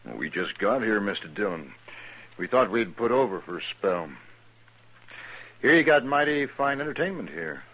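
An actor playing a cool, self-assured outlaw gang boss with a taunting, sardonic manner in a radio western. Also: male voice, on the low side, big pitch swings, normal speed, pushed and bright, slightly rough, dull audio, exaggerated voice. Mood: mocking. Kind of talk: 1950s radio western acting.

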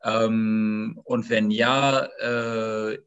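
A man with a fairly low voice asks questions after a talk. He speaks very slowly, halting often and restarting his sentences as he searches for words. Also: flat delivery, a bit bright, clean tone, lo-fi sound. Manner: halting, full of fillers and restarts. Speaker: audience member asking questions. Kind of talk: conversational questions.

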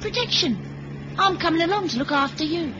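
A woman's voice: very high voice, lively intonation, normal speed. A woman playing a tough, possessive British agent in a 1970s radio drama, teasing and sarcastic with a British accent. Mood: needling. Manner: needling, sarcastic. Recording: muffled old radio recording, clear noise.

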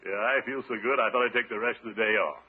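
An actor playing a pompous, excitable middle-aged water commissioner in a 1950s radio comedy, with a blustering manner. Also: male voice, medium pitch, rapid-fire, lightly acted. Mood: smug. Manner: blustering, hammy, swooping delivery. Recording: muffled 1950s radio recording, background hiss.

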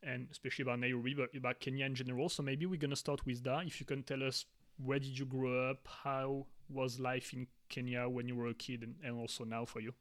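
A man co-hosting a podcast, asking casual questions in a chatty way with soft laughs and hesitant fillers. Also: medium pitch, little pitch movement, fast, few pauses. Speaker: podcast co-host.

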